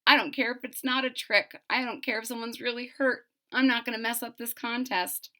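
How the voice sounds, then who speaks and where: A woman's voice: fairly high pitch, steady tone, a little fast, sharp tone. A woman voicing a stubborn, selfish young man in a storybook reading, curt and insistent. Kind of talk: storybook read-aloud.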